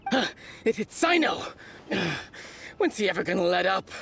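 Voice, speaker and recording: Brusque smuggler with swooping intonation. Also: male voice, high-pitched, big pitch swings, a little slow, creaky, fairly bright tone, a little hiss or hum, full character voice. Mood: alarmed. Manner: curt, complaining. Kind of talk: anime-style video game voice acting.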